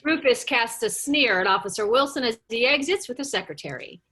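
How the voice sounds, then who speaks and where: A woman reads stage directions for a table read in a high, bright voice. Her delivery is animated, moving freely up and down as she narrates. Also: fairly quick, slightly rough.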